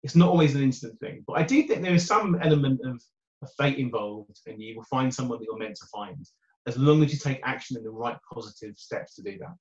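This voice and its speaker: A British man in a dating coach interview, with a loud voice and an upbeat, chatty way of talking. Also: medium pitch, expressive, brisk. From United Kingdom. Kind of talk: conversational interview answers. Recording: roomy, dull remote audio.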